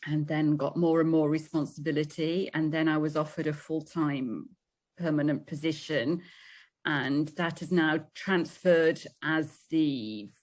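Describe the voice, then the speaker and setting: A British woman in her fifties, an English teacher, chatting over a video call about her work with easy fillers. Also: sits low. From Britain. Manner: chatty, with fillers and light laughs. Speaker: English teacher at an engineering school.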